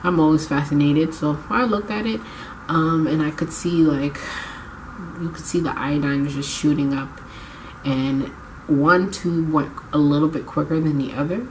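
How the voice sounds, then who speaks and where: A young woman with a low, congested voice chats to her camera as she gets over a cold. She rambles and corrects herself as she goes. A faint hiss of room noise sits behind her. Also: moderate pace, a little mellow, low-quality recording. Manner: chatty, rambling, self-correcting. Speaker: vlogger. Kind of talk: casual chat to camera. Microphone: camera mic.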